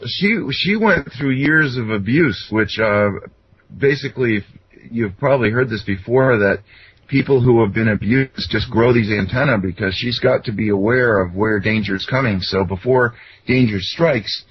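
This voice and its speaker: A middle-aged or older man with a husky voice, telling personal stories in an earnest, rambling, explanatory way as an interview guest. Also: medium pitch, normal speed, dull audio, a little hiss or hum. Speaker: interview guest, self-described contactee. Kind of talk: conversational personal storytelling.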